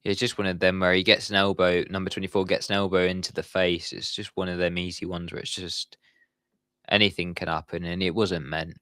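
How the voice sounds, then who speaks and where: A man's voice: low-pitched, flat delivery, brisk, sharp tone, clean tone, dry recording, clean studio sound. A young man giving live football commentary on his stream, chatty and talking to his viewers in the chat. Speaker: watchalong streamer.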